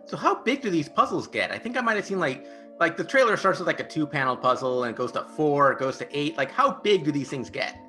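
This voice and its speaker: Quick, animated male interviewer. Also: on the high side. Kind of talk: casual conversational interviewing. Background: background music.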